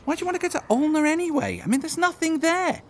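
A man's voice: very high voice, quick pace. A man playing a nervous, reluctant woodland guide in a comedic audio drama, whiny and stammering, eager to please. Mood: grudging. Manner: whiny, stammering, rambling excuses. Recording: clear outdoor water ambience behind.

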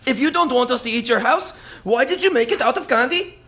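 A woman's voice: sits high, animated, a little fast, a bit bright. Hansel, a woman playing a greedy whiny boy. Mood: defiant. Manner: whiny, mocking, bossy. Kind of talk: comedic audio drama.

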